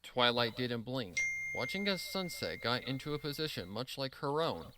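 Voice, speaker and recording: A man's voice: mid-range voice, sing-song, normal speed, heavy background noise. A man reading scripted fan fiction as the narrator.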